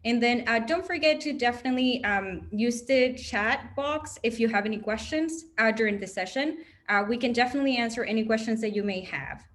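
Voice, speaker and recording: A woman's voice: fairly high pitch, brisk, few pauses, fairly bright tone. A young woman from Chile hosting an online info session, chatty and upbeat with many fillers, heard over a video call. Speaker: host, operations manager.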